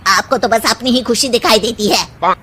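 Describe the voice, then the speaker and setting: A woman voicing a cheeky, childish young daughter-in-law in a cartoon story, with sassy, theatrical, drawn-out delivery. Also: a little high, a bit bright, slight background noise, natural voice. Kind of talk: animated moral story dialogue.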